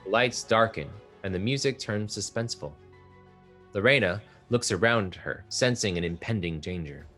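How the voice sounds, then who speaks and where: A man narrating the stage directions of a play in a measured table-read style. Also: lowish voice, animated, pushed and bright, soft background music. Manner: measured, descriptive.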